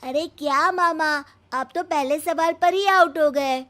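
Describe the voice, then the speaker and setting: A woman's voice: high voice, a little slow, sharp tone, pitched above the performer's own voice. A woman voicing a cheeky, impatient fifth-grade boy with a childlike whine in a story.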